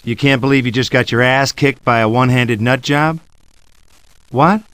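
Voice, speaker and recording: An actor playing a cocky, sarcastic action hero in a video game cutscene, brash and swaggering. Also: male voice, medium pitch, moderate pace, a bit bright, clear, resonant tone, no room sound, natural voice. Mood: taunting. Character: cocky, wisecracking one-handed male action hero.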